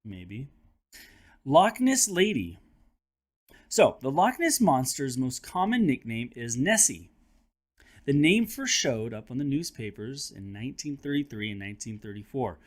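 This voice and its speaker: A man hosting a children's read-aloud, telling the story in a dramatic, expressive way with chatty asides. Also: medium pitch, unhurried. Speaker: host and teacher reading aloud to children.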